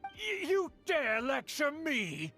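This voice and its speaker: A haughty middle-aged nobleman scolds with pompous, imperious indignation. His melodramatic game-villain delivery swings widely in pitch, over music. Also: wildly swooping pitch, slow, sharp tone, exaggerated voice. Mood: appalled. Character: pompous, scheming aristocratic uncle, haughty and indignant. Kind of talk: video game voice acting.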